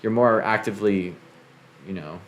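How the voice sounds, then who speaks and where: A man's voice: lowish voice, normal speed. A man hosting a casual podcast, talking loosely with swearing and many fillers. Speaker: podcast host.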